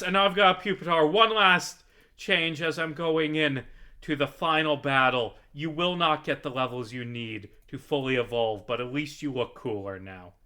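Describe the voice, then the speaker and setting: A man's voice: mid-range voice, sharp tone. A man giving casual video game commentary, rambling and thinking aloud with the odd swear word.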